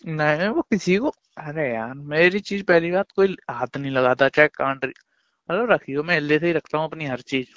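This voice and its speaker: A man's voice: on the high side, normal speed, a bit bright, no room sound. A young man in casual talk with his girlfriend on a phone call, pleading and reassuring. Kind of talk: casual phone chat between a couple. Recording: dull, dry phone call recording.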